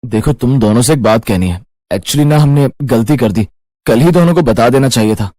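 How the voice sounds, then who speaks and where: A man's voice: mid-range voice, animated, normal speed, mellow, natural voice. A man voicing a dutiful young married son with an earnest, explanatory manner in a cartoon moral story. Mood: apologetic, earnest.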